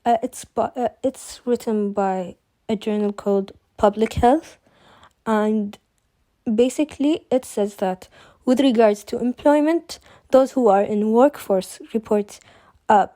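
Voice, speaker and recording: A woman's voice: on the high side, slow pace, dark tone, no room sound. Young woman from Kuwait presenting a seminar. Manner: reads prepared remarks, small restarts. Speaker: student presenter.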